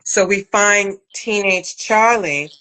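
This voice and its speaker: A woman's voice: medium pitch, slow pace, bright, forceful tone, rough recording. A woman from Brooklyn conducting a casual, conversational interview as a director and acting coach. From Brooklyn, USA.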